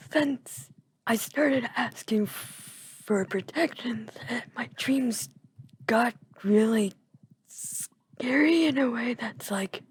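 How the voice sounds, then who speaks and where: Breathy whispering woman gamer. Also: a little high. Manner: soft whisper, rambling and musing. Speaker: video creator. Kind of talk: whispered gameplay chat.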